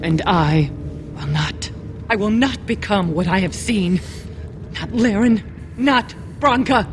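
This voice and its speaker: A woman's voice: medium pitch, takes it slowly, background noise, natural voice. An actress playing a haunted, despairing and defiant woman in a video game, rambling in a cryptic, sing-song way. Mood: anguished, defiant. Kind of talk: dramatic video game voice acting.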